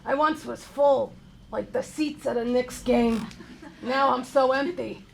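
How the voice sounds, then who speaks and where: A woman's voice: high voice, a little slow, creaky, rough recording. A woman playing a lonely, self-pitying divorced New York bartender in his fifties, mopey and hesitant, in a comedy table read. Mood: forlorn. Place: theater stage, live show. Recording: live stage reading, faint noise.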